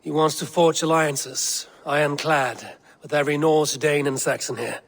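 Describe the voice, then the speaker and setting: A man acting as a steady, loyal Viking warrior in a game cutscene, speaking in a terse, stately way. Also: a little high, moderate pace. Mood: businesslike.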